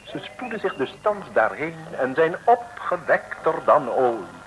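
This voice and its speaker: A man's voice: fairly high pitch, big pitch swings, measured pace, fairly bright tone, rough recording. A male narrator of an old radio serial, telling the story in a chatty, wry way straight to the listener. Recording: old radio recording with faint noise.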